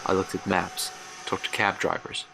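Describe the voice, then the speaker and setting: A man's voice: deep voice, monotone, vocal fry. An actor playing a haunted older man, recalling his youth in a grave, literary horror monologue for an audio drama. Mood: troubled. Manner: grave, literary, measured storytelling. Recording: noisy track with ambience behind.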